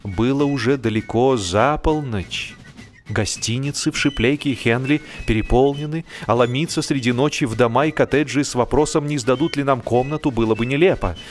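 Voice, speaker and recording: Male audiobook narrator, mid-range voice, theatrical and measured, wry literary comedy delivery, soft musical bed. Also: mid-range voice. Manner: theatrical, measured with dramatic pauses. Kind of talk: expressive humorous literary narration. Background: background music.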